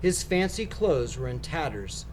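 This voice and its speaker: A man narrates a storybook for schoolchildren in a mid-pitched voice. His phrasing is deliberate and expressive, swinging freely up and down, with noticeable background noise. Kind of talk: read-aloud storybook narration.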